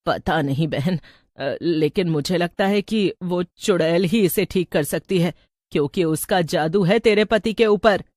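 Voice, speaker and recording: A woman playing a wise older village woman, measured and cautioning, in an animated story. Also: fairly low pitch, dry recording, studio quality. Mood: informing. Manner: measured, advising. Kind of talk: animated Hindi story acting.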